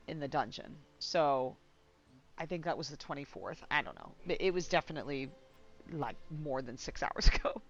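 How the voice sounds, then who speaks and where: A woman's voice: low voice, highs cut off. A woman streaming a game, giving casual, informal and rambling commentary on a close mic.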